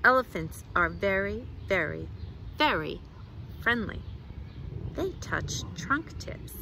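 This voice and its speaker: A middle-aged woman reads a picture book aloud to children outdoors, with expressive, deliberate phrasing. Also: mid-range voice, gravelly, background noise. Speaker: children's librarian, storytime reader. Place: outdoors in a leafy garden.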